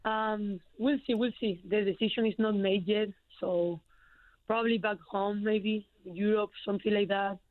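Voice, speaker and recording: A woman's voice: medium pitch, steady tone, moderate pace, no echo. A young woman from Spain with a Spanish accent, answering interview questions as a college basketball player, hesitant with many fillers. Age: young adult. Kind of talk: phone-in radio interview. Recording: tinny phone line.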